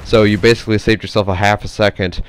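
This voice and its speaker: A man's voice: on the low side, little pitch movement, fast talker, slight background noise. A man giving casual, rambling, unscripted game commentary, hesitant and nasal from a stuffy head cold. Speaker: gameplay commentator.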